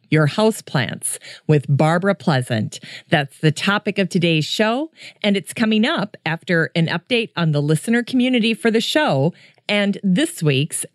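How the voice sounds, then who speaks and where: A woman hosts her podcast alone in a friendly, chatty way, speaking into a headset at home. Her voice sits quite low, a little deeper than usual after a coughing fit. The sound is close and dry, with no room echo. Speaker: podcast host. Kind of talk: casual solo podcast chat.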